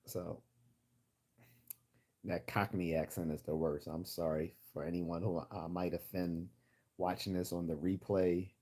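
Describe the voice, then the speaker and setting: Male livestream host, low husky register, generic Midwestern American accent, casual and opinionated. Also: level tone, a little slow, pauses often. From United States. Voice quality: deep, husky, mellow.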